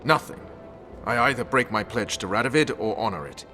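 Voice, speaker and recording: A hard military commander from a fantasy game. His voice is low and stern, blunt and resolute, with music playing behind it. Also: expressive, a little fast, bright, forceful tone. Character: hard, vengeful male special forces commander, loyal soldier. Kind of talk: dramatic video game acting.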